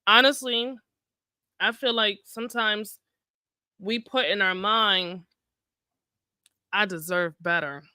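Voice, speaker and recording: A woman with a bright, forceful voice chats casually as a podcast co-host. She takes her time and makes her points emphatically in everyday, colloquial language, recorded close and dry. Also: mid-range voice, steady tone, slow pace, long pauses, clean tone, no echo.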